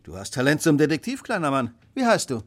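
An actor playing a brisk, self-assured detective who speaks with precise authority in a radio play. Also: male voice, fairly high pitch, very animated. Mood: confident, brisk. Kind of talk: German radio play acting.

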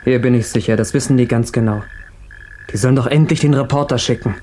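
A man's voice: medium pitch, quick pace, warm tone, lightly acted. An actor playing a desperate, distrustful gunman barking curt orders from a barricaded house in a radio crime drama. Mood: defiant, tense. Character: desperate armed hostage-taker barricaded in a house. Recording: hissy old radio play recording.

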